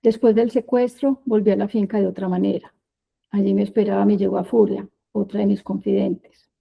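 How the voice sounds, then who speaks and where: A woman in her fifties with a Medellín Colombian accent, telling her life story as a prepared talk in measured, short phrases. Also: medium pitch, flat delivery, vocal fry, breathy edge, muffled recording. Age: 50s.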